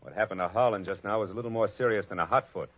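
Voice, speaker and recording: A man's voice: on the low side, racing, no echo, natural voice. An actor playing a cynical, wisecracking male private detective in a 1940s radio drama, narrating in a tough, dry manner. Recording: dull old radio broadcast recording.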